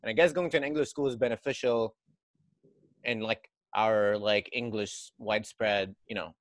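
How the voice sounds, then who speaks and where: A man's voice: fairly low pitch, fairly even intonation, moderate pace, no room sound. Man, from Lebanon, mid-range voice, dry recording, thoughtful, asks questions. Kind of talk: casual conversation.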